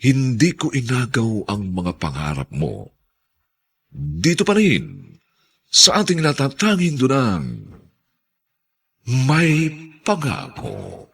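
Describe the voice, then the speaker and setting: A man's voice: mid-range voice, huge pitch swings, gravelly, husky. A man with a Filipino accent delivering solemn, theatrical scripted narration as a radio drama announcer. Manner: solemn, theatrical, drawn-out emphasis.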